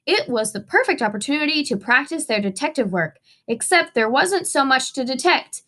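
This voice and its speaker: Teacher lady reading a kids book out loud, high voice, really sing-song up and down, dramatic emphasis. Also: big pitch swings, normal speed. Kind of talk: expressive children's storybook read-aloud.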